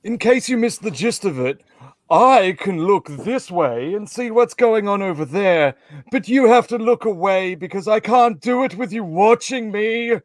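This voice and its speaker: A man voicing a theatrical, vengeful horned alien villain in a comedic table read, with drawn-out delivery. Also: high voice, lively intonation, no echo. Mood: pompous. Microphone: home video call.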